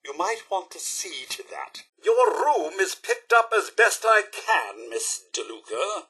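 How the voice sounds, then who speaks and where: A loyal robot butler from a video game with a British accent. The voice is bright and slightly husky, swooping theatrically across a wide range. He speaks with fussy, deferential politeness and formal diction. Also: high voice, big pitch swings, slow pace, heavily acted. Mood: put-upon, polite. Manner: formal, deferential, fussily courteous. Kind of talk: video game character voice lines.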